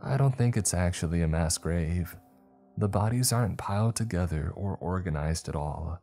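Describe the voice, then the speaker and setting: A man voicing a weary, trapped man who records a grim log in a slow, halting, subdued way for a horror story. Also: low-pitched, warm tone, soft background music. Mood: subdued, uneasy.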